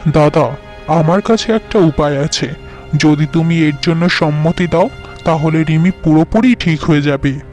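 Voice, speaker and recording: A man's voice: fairly high pitch, measured pace, a little mellow, soft background music, close to an everyday voice. A man voicing a scheming, persuasive younger-brother uncle in a fairy-tale cartoon.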